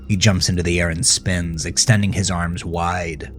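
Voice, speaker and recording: A man narrating a horror story with a grave, deliberate, suspenseful delivery. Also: deep voice, little pitch movement, fairly bright tone, faint music behind. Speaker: author and narrator of horror podcast. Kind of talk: dramatic second-person horror story narration.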